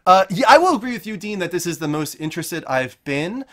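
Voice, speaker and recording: A man's voice: high voice, big pitch swings, fairly quick. A man hosting a casual gaming panel and reacting in a jokey, exclaiming way. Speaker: show host. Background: music behind the voice.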